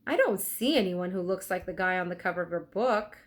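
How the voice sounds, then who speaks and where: A bookish young boy explorer from a children's storybook, read by a woman. He sounds earnest and explanatory, stressing words with a very varied pitch. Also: expressive, fairly quick, natural voice. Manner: emphatic, explanatory.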